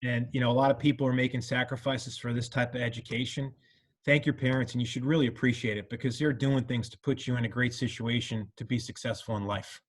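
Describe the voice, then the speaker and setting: A man's voice: mid-range voice, fairly even intonation, quick pace, slightly rough. Earnest middle-aged man reminiscing. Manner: earnest, rambling with many "you know" fillers. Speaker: alumnus panelist. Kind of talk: reflective conversational talk.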